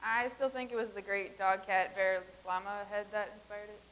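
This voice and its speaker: A woman's voice: medium pitch, steady tone, moderate pace, fairly bright tone, natural voice. A woman in a comedic staged reading playing a solemn, eccentric devotee of a strange idol. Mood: solemn, reproachful. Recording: faint hiss and noise.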